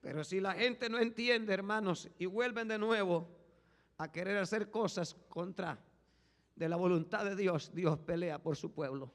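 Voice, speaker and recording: A male pastor preaching a fervent sermon, swinging from hushed tones to shouting and repeating "brothers" as a refrain. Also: sits high, unhurried. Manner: repeats "hermanos" as a constant refrain. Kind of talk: Spanish-language sermon. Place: church sanctuary. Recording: long echo of a large hall.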